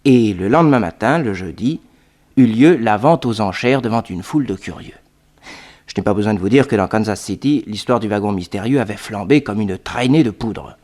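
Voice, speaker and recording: A man's voice: fairly low pitch, animated, moderate pace, a little mellow. An actor narrating as a young male sidekick in a radio drama, telling the story directly to listeners.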